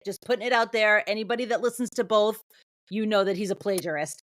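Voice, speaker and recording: A woman's voice: medium pitch, fast talker, no room sound. A woman co-hosting a podcast, chatting casually and recapping films. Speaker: podcast co-host. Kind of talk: casual film review chat with plot recap.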